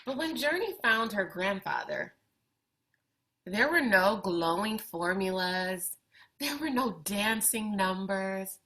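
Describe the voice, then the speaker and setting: A woman reading a children's picture book aloud with deliberate, expressive phrasing. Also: medium pitch, a little hiss or hum. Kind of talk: read-aloud storytelling for children.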